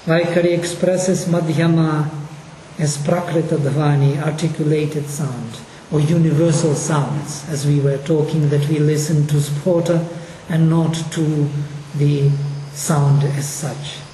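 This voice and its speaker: Brief: Russian-accented linguistics lecturer, mellow tone, slow and deliberate delivery, echoey hall with faint room hum. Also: mid-range voice, steady tone. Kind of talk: course lecture. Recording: echoey hall, mic at a distance.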